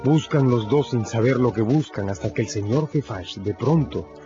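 Male narrator for radio novel: medium pitch, solemn, emphatic, dramatic, slightly muffled sound with loud score. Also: expressive, normal speed. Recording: dull lo-fi radio production, loud music.

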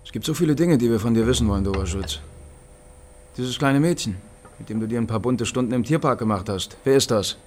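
An actor playing an insistent East German secret police interrogator in a radio crime drama, mock-friendly and menacing. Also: male voice, mid-range voice, brisk, a little mellow, a little hiss or hum, natural voice. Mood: smug, pressing.

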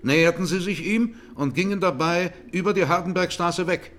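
A presiding judge in a radio courtroom drama. His voice sits slightly high for a man. He questions the accused formally, with precise, probing insistence. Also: normal speed, fairly bright tone.

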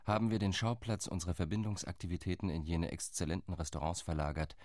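Male actor as an eager envoy in a radio drama, deep and earnest. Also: fairly even intonation, fast, a little mellow, no room sound, studio quality, lightly acted. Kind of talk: radio play monologue.

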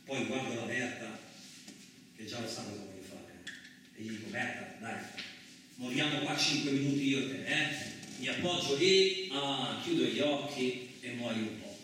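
An actor as a swaggering trucker in an echoey room. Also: male voice, mid-range voice, normal speed, pushed and bright, close to an everyday voice. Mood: dreamy, hushed. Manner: colloquial, muttering asides, swaggering. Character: boastful, hot-tempered, rough-talking Italian truck driver. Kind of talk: theatrical radio monologue. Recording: very echoey, distant, lightly noisy room.

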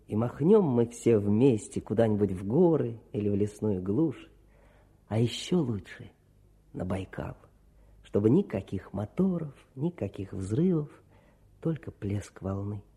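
A man's voice: lowish voice, dark tone. An actor playing an earnest, patriotic male officer voicing his letter home in a heartfelt radio drama recitation. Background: faint music.

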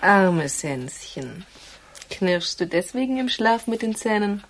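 A woman's voice: mid-range voice, big pitch swings, slow, a little hiss or hum. An actress playing a perceptive, teasing woman who is an intimate confidante, in a radio crime drama, with knowing phrasing.

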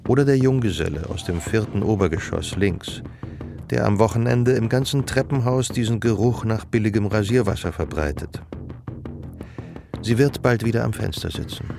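A literary radio-play narrator with a lowish, gravelly voice. He is reflective and observant, telling the story in a measured way over clear music.

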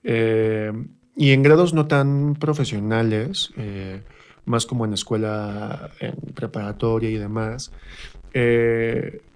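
A man from Mexico with a Mexican accent, telling anecdotes reflectively as an interview guest and often pausing to search for words. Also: medium pitch, fairly even intonation, darkish tone, clear, resonant tone. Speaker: interview guest, performer and drama teacher. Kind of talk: conversational anecdote in a radio interview.

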